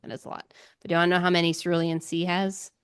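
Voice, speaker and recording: A woman's voice: fairly low pitch, flat delivery, rapid-fire, smooth, no room sound, studio quality. A woman co-hosting a book club podcast, chatting casually.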